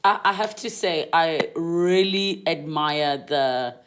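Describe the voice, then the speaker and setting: A woman's voice: deep voice, slow. A woman from South Africa interviewing guests, asking probing, empathetic questions in a casual conversation.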